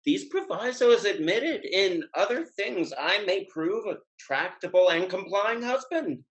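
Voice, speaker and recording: A man's voice: high voice, big pitch swings, measured pace, low-quality recording. A man playing a witty playboy suitor in a Restoration comedy, speaking in a wry, mock-formal, declamatory way. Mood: mock-formal, declaiming. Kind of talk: Restoration comedy stage dialogue.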